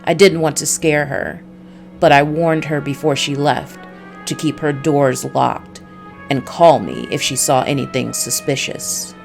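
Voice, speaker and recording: A woman's voice: low-pitched, measured pace, vocal fry, faint music behind. A woman voicing a world-weary ex-cop private detective, narrating a noir visual novel in a dry, brooding way. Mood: wry, weary.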